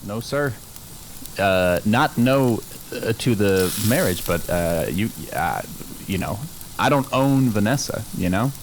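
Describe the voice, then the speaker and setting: A man playing an affable, progressive middle-aged father in an improvised comedy radio play, casual, rambling and gently preachy. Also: on the low side, expressive, takes it slowly, low-quality recording. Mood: disapproving, lecturing. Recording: faint sizzling barbecue noise behind.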